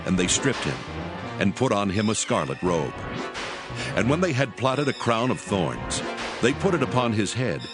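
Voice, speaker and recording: Deep solemn male scripture narrator. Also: very animated, music in the background. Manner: solemn, measured reading.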